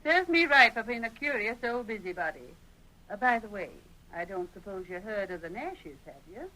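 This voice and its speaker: A woman's voice: medium pitch, animated, normal speed, bright, forceful tone, rough recording. A woman playing a gossipy, opinionated frontier busybody with a rural Western American accent in an old radio drama. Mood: gossipy, indignant. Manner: folksy, emphatic, chattering. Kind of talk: 1940s radio acting.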